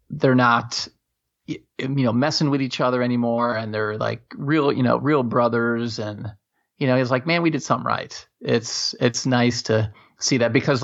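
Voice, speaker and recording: A man's voice: medium pitch, creaky. Guy in his 50s rambling on a podcast, lots of "you know", kinda dull muffled sound. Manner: rambling, halting, full of fillers. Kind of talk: casual chat.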